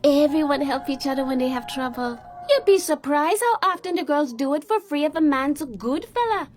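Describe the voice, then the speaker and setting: High-voiced, cheeky Jamaican bar manageress. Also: female voice, animated, slight background noise. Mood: amused, frank. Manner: teasing, unhurried, frank. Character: cheeky, warm-hearted young Jamaican woman managing a bar. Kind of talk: radio drama acting.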